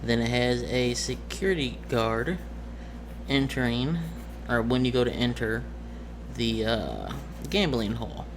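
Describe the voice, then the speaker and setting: A man acts as game master, laying out a tabletop game scene in a casual, explanatory way. His delivery is halting and he often corrects himself. His voice sits at an average pitch, and there is clear noise over the recording. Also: lively intonation.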